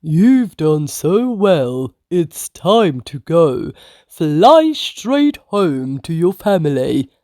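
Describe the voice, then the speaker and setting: A woman playing a slow, booming wise old bird. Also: very animated, a little mellow, no echo, clean studio sound, heavily acted. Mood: proud, joyful. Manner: booming, instructive, measured. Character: wise old female bird, firm, encouraging healer.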